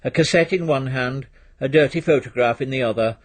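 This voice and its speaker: Man narrating a story, bit of husk in the voice, normal mid pitch. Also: a little fast. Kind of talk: scripted literary narration with voiced dialogue.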